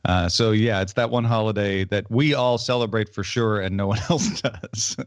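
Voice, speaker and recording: A man's voice: on the low side, normal speed, no room sound, clean studio sound. A man from Illinois, USA, co-hosting a podcast in easygoing casual chat. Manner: easygoing, laughs often. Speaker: podcast co-host.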